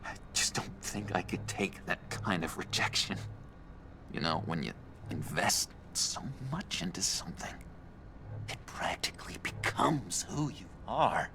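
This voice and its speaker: A man playing a giddy, insecure, needy young villain in a video game, with a theatrical, halting, stammering delivery. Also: deep voice, sing-song, vocal fry, pushed and bright, a little hiss or hum, heavily acted. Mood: anxious confiding. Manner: theatrical, halting, stammering, swinging from glee to confiding.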